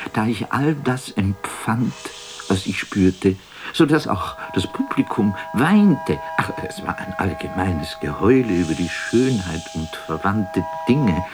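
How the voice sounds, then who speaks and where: A man playing a world-weary, self-mocking stage actor in a radio drama monologue, declaiming haltingly with bitter irony and often sinking to a breathy whisper. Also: lowish voice, sing-song, soft background music. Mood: wistful, bitter.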